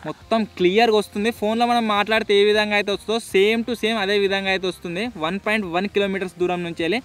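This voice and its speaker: Male vlog host, Telangana Telugu accent, very quick informal delivery, high voice. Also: fairly even intonation, a bit bright. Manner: informal, slangy, bantering. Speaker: video host. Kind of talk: casual vlog chat.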